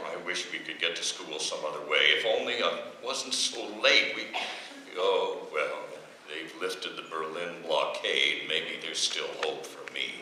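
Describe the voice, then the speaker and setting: A man's voice: a bass voice, unhurried, pushed and bright, heavily acted. A man playing a pompous, irritable middle-aged American father in old-time radio comedy style, with theatrical, emphatic diction. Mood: gloomy dread. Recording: echoey, faintly noisy stage recording.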